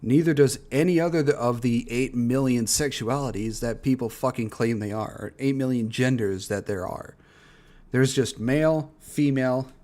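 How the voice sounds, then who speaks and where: A man reacts to videos in a casual, opinionated way. His voice sits at an average pitch. He is blunt and peppers his commentary with profanity. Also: moderate pace, darkish tone. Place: livestream reaction recording.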